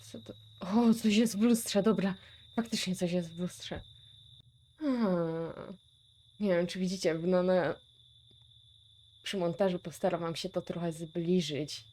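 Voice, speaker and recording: Polish female reaction host, low mellow voice, casual and musing, thinking out loud as she watches. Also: steady tone, slow, long pauses. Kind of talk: casual reaction commentary on a horror video.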